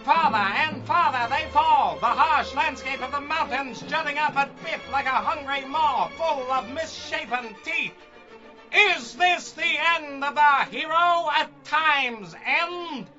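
A man's voice: extremely high pitch, takes it slowly, heavily acted. A man playing a grandiose announcer narrating a melodramatic pulp space adventure radio serial. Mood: dramatic suspense. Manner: grandiose, theatrical. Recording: dull lo-fi audio under loud music.

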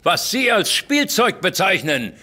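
A man's voice: high-pitched, big pitch swings, unhurried, sharp tone, full character voice. An actor playing a pompous, blustering army general in an audio drama, stumbling over his words. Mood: indignant.

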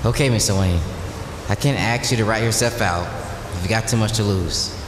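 An actor playing a bold, idealistic young vigilante in an audio drama, casual, teasing and earnest. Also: male voice, fairly low pitch, fairly quick, noisy background, lightly acted. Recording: echoey reverberant hall, music underneath.

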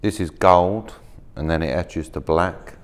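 A deep, smooth-voiced man in his thirties talks through a workshop demonstration in an easygoing, rambling way, full of fillers and restarts. He sounds relaxed and unscripted as he handles materials at a work table. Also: measured pace. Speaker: maker demonstrating laser-cut materials.

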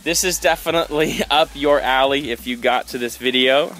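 A man's voice: medium pitch, normal speed, fairly bright tone, poor audio. A young man cheerfully promoting his meetup group in a casual vlog, filmed outdoors by a spring on a phone held at arm's length. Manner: upbeat and enthusiastic. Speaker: meetup organizer. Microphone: phone camera at arm's length. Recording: background music under voice.

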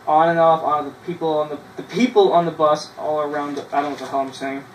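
A man, casually reading his old writing aloud in a halting way, with self-mocking asides, in a small bedroom. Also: a little high, steady tone. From Canada. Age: 20s. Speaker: vlogger. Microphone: camera a few feet away. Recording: roomy, distant mic with noise.

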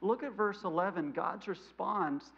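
A man in his thirties preaches in a church sanctuary, a bit higher-pitched than most men, with a bright, forceful tone. He has a slight stutter and swings from loud emphatic bursts to quiet asides. The recording sounds dull and lo-fi. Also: unhurried, vocal fry. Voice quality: mild stutter. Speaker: missionary preacher. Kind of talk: sermon.

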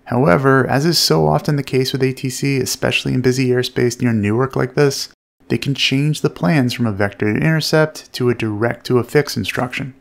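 Male instructional narrator, measured and explanatory, noticeable vocal fry. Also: medium pitch. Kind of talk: explanatory instructional commentary over flight footage.

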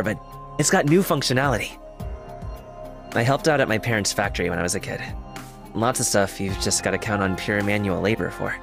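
A man's voice: fairly low pitch, lightly acted. A man voicing an earnest, humble, eager young engineer in a video game, with casual, clipped, sincere phrasing. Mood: casual, chatty. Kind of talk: scripted game character voice lines.

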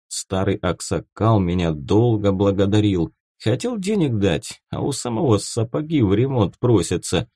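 A man narrating fiction from a script as an expressive audiobook reader. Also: lowish voice, no echo, studio quality.

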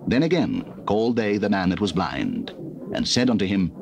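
A man's voice: fairly low pitch, sing-song, normal speed, creaky, darkish tone, a little hiss or hum. A man giving scripted scripture narration on an old cassette recording.

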